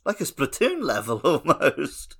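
A man's voice: a little high, wildly swooping pitch, unhurried, vocal fry, fairly bright tone. A man co-hosting a podcast, casually discussing a video game. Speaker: podcast co-host.

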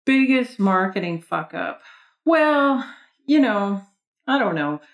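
Lively Canadian woman guest. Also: medium pitch, slow. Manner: energetic storyteller, laughs often, quotes people in voices. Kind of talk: podcast interview.

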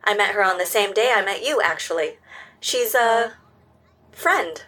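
A woman's voice: medium pitch, expressive, a little slow, sharp tone. A woman playing an earnest, curious health robot in an audio drama, speaking in precise, formal sentences. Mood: friendly, explaining. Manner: precise, polite, formal wording.

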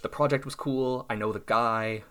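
A man in his thirties, a self-employed software developer, talking casually and thoughtfully about his work, often restarting his sentences. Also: medium pitch, fairly even intonation, normal speed. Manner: thoughtful, reflective, with restarts and small laughs. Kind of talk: casual podcast conversation.

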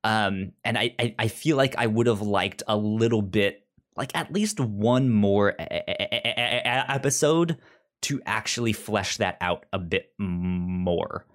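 Man chatting casually in a halting stammer. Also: fairly low pitch. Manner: halting, stammers and repeats words. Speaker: podcast co-host reviewing audio drama. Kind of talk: casual review chat.

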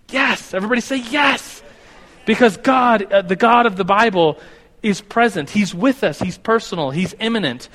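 A man's voice: high-pitched, a bit bright. A man in his thirties teaching a class about God in a loose, rambling way, correcting himself as he goes, in a classroom with a board. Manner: rambling, self-correcting, stumbles over words. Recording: long hall reverb.

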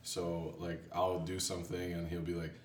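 A man chatting casually as a music producer guest, telling stories in a loose, halting way. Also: deep voice, little pitch movement. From born in Haiti. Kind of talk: casual chat. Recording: roomy, distant mic with faint background noise.

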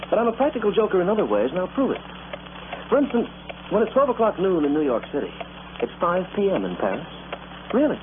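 A playful, theatrical storyteller opening a vintage radio play. His teasing delivery is very animated in pitch. The audio is tinny, with clear noise. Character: excitable, playful storyteller, self-styled practical joker. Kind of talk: dramatic old-time radio narration.

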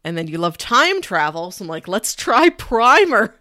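A woman's voice: medium pitch, big pitch swings, normal speed, pushed and bright, no echo, clean studio sound. A woman co-hosting a podcast, chatting casually about movies. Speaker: podcast co-host. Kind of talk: casual movie discussion chat.

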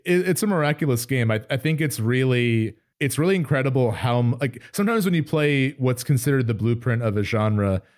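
A man's voice: medium pitch, expressive, brisk, few pauses, a little mellow. A man co-hosting a podcast, discussing video games in a conversational, enthusiastic way. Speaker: podcast co-host. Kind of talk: casual video game discussion.

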